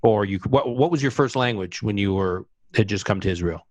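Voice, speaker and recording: Brisk, eager male interviewer, dry sound. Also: fairly low pitch, darkish tone, dry recording. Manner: brisk, eager, quick to jump in. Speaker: interviewer and host. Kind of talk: live conversation, moderating questions.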